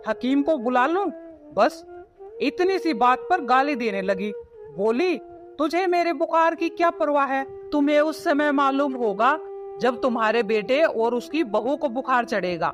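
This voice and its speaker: A man voicing an aggrieved, self-pitying old mother-in-law in a melodramatic, complaining cartoon story style. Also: very high voice. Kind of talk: dramatised storybook dialogue.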